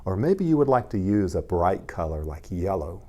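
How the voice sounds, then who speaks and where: A man, a pastor, reading aloud to young children in gentle, simple language and addressing them directly. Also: low-pitched, big pitch swings, normal speed.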